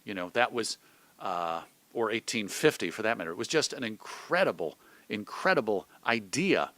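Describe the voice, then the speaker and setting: American guy, middle aged, chatty storytelling in an interview, bit halting, very dry room. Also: fairly low pitch, expressive, sharp tone, no echo. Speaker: radio host being interviewed.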